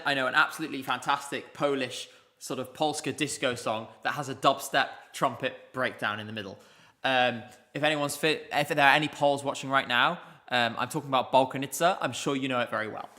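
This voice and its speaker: Male stream host, bright forceful voice, loose chatty delivery answering viewer questions. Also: medium pitch. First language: English. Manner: rambling, chatty, many ums. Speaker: livestream host. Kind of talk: casual live chat reading viewer comments. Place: indoors, streaming from Basel.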